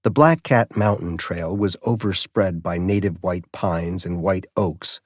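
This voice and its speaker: Mystery audiobook narrator: adult male, lower register, scripted prose, close dry sound. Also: darkish tone, no room sound.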